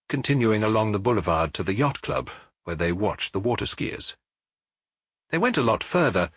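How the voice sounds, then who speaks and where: Low, husky narrator with lively range. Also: male voice, no echo, clean studio sound. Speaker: audiobook narrator. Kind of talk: scripted narration of a detective novel.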